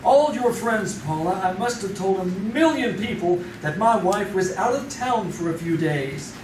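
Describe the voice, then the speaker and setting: An actor playing an anxious, scheming husband in an old-time radio style drama. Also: male voice, high-pitched, lively intonation, a little slow. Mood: guarded, smug. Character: anxious scheming husband, embezzler balking at murder. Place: live theater stage. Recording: distant echoey stage recording, noisy.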